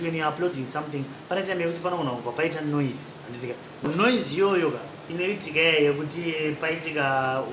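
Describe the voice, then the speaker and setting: A man hosting a podcast, giving chatty, rambling commentary and laughing at himself now and then. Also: on the high side. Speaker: podcast host. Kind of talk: casual commentary mixing Shona and English. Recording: echoey room with noisy background.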